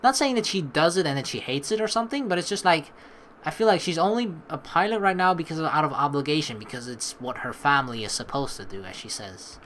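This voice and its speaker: A woman hosting a let's play, informal and animated, mixing game commentary with reading dialogue aloud. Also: deep voice, quick pace, slight background noise.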